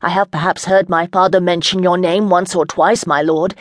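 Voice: medium pitch, steady tone, normal speed, dry recording, studio quality, natural voice. A reader voicing a proud young noblewoman who answers with scorn and defiance. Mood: defiant. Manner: scornful, raised and forceful.

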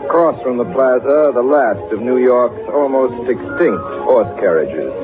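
A man's voice: mid-range voice, animated, unhurried, close to an everyday voice. A man narrating a scripted 1930s radio drama in an urbane, wry, literary manner. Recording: narrow-band 1930s radio broadcast. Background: loud music.

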